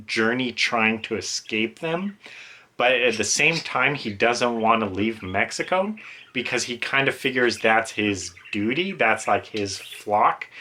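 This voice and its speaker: A man's voice: medium pitch, pushed and bright. A man giving an informal, rambling, self-aware book review as a reviewer talking casually on video.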